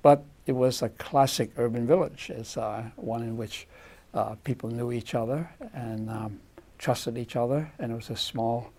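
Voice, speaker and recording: Older man, soft mellow tone, medium pitch, reflective halting reminiscence.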